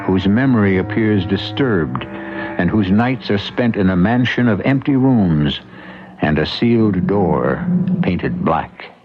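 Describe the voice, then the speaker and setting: Male drama host, low and measured, ominous scripted delivery, dull vintage broadcast sound. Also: lively intonation. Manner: measured, portentous. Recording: dull lo-fi old radio broadcast. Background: loud music.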